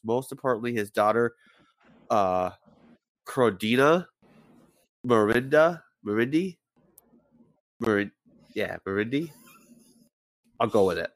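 A man's voice: medium pitch, dry recording, poor audio. A man with a head cold hosting a casual podcast, rambling through a story and stumbling over names as he swears offhandedly. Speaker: podcast host.

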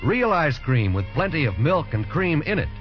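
An American man delivering a scripted advertising pitch as a 1940s radio commercial announcer. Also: sing-song, moderate pace, faint music behind. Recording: muffled 1940s radio recording.

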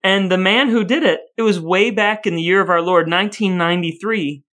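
Man, bright sharp voice, a little high, casual banter, playful mock-serious delivery. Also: fairly quick. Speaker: podcast host.

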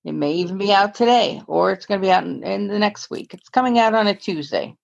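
A woman's voice: medium pitch, expressive, brisk, vocal fry. A woman co-hosting a podcast, chatting casually, rambling and correcting herself as she goes. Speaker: podcast co-host. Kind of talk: casual chat. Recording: dull, lo-fi audio.

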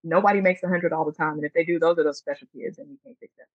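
A woman's voice: low voice, racing, no echo. Earnest woman teacher, emphatic panel talk, dry dull recording. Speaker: math teacher. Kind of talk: panel discussion.

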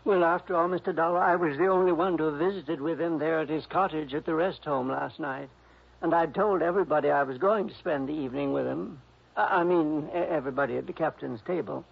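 A gentle, fussy older man in an old radio drama. His voice is fairly high, gravelly and husky, with a plaintive, hesitant delivery. It comes through a muffled vintage recording. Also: fairly quick. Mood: indignant, plaintive. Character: fretful, gentle older male jailed composer. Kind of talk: early 1960s radio drama acting.

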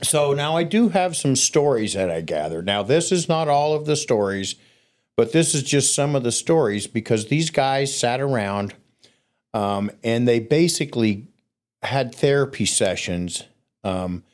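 Podcast guest: man, lowish voice, relaxed rambling storyteller, a bit coughy. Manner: relaxed, rambling with fillers and restarts. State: coughing, throat scratchy.

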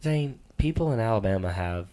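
Very deep-voiced dad, dryly teasing. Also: male voice, expressive, a little fast, mellow, no room sound. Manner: patient, dryly teasing, matter-of-fact. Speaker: father co-hosting sports picks. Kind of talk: casual chat.

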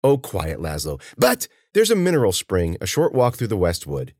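A man's voice: deep voice, huge pitch swings, moderate pace, a little mellow, breathy edge, no echo, clean studio sound, full character voice. A man voicing a sly elderly woman herbalist in a storybook, coaxing people with patronizing endearments.

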